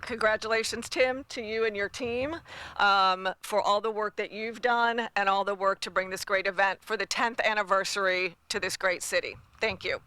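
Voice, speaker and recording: A woman's voice: medium pitch, steady tone, normal speed, pushed and bright, clean tone. A woman speaking as a corporate sponsor representative at a press event, in a formal, appreciative manner. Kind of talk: public remarks at a press event.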